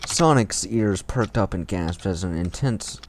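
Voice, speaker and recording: A man's voice: sits low, expressive, a little slow. A man reading fan fiction aloud in a casual comedic way, amused, swearing and breaking off to comment. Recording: noisy home recording with clicks and typing.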